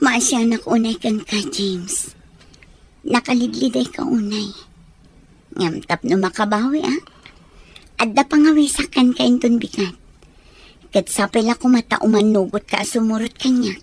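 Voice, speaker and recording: Consoling Ilocano woman, slightly husky. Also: on the high side, moderate pace, pauses often, a little mellow. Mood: sympathetic. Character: consoling mother figure, gently advising. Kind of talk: radio drama acting.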